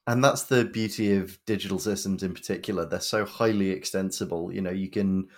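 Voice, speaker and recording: A man co-hosts a casual chat, jumping in with quick, enthusiastic comments. His voice sits fairly low. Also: steady tone.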